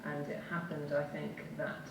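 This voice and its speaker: A woman's voice: low-pitched, little pitch movement, moderate pace. A woman theatre director on a panel, speaking emphatically with firm opinions. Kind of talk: panel discussion. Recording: echoey hall from far off, noisy.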